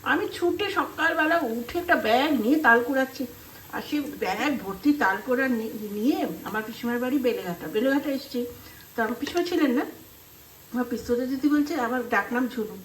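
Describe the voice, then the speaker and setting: A woman's voice: sits high, normal speed. A middle-aged woman with an Indian Bengali accent, telling casual, rambling stories as she cooks at home and acting out remembered conversations. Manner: chatty, rambling, acts out remembered dialogue. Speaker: home cooking vlogger. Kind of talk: casual storytelling while cooking. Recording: roomy echo, some background noise.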